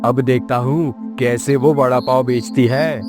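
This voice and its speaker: A man's voice: mid-range voice, steady tone, unhurried, close to an everyday voice. A man playing a jealous, villainous village food vendor who plots aloud in a Hindi moral-story cartoon. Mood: bitter, scheming. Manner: villainous, muttering his plots to himself. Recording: music behind.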